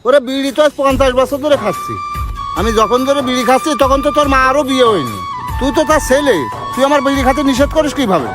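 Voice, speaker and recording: A man's voice: extremely high pitch, lively intonation, fast, over music. A man playing a stubborn, defensive old father with a rural Bangladeshi accent in an amateur drama. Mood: defiant. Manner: argumentative, earthy. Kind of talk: amateur Bengali short drama acting.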